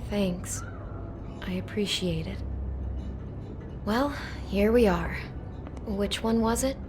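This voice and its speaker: A woman's voice: mid-range voice, takes it slowly, lightly acted. A woman voicing an anxious young woman in a video game, hesitant and earnest as she confides. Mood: uneasy, earnest. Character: anxious, proud young woman hiding money troubles. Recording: music behind the voice.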